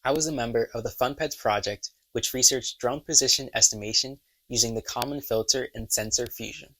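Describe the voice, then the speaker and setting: A man's voice: medium pitch, fairly quick, bright, forceful tone, rough recording. A teenage young man from Miami, Florida, reading a scripted introduction as a student intern. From Miami, Florida, United States. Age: teens. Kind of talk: scripted narration.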